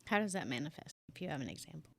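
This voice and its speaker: A woman's voice: deep voice, very animated, racing, dry recording. A woman co-hosting a podcast, chipping in with brief, casual conversational interjections. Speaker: podcast co-host.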